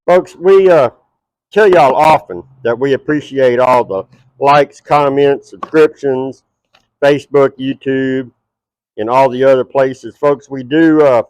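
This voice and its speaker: A man's voice: medium pitch, measured pace, darkish tone, no room sound, rough recording. Folksy Texan man cooking outdoors. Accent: Texas. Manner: folksy, chatty, talks straight to the viewers. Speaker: cooking show host. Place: outdoor backyard table beside a shed.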